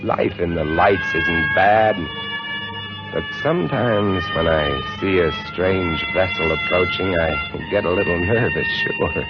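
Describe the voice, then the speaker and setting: An actor playing a shaken male lighthouse keeper, telling his story in hushed, dramatic narration for a 1950s radio drama. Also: deep voice, wildly swooping pitch, slow pace, full character voice. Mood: wry, uneasy. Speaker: lead actor narrating in first person. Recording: lo-fi old radio broadcast with music.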